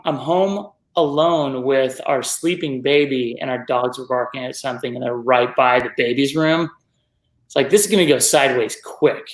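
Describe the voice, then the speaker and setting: A man's voice: on the high side, echoey room, rough recording. A man co-hosting a casual chat show, chatty and joking at his own expense. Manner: chatty, self-deprecating, joking. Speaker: co-host.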